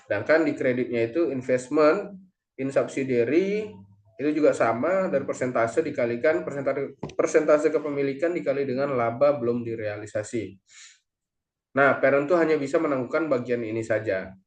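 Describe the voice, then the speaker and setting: A man presents a lesson in a mid-pitched voice. He explains things step by step, now and then halting and correcting himself. The recording is echoey, with clear room reverberation. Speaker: accounting lecturer or presenter.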